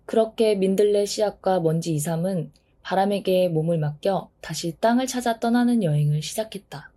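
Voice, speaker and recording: A woman narrating a children's storybook in a calm, measured reading. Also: medium pitch.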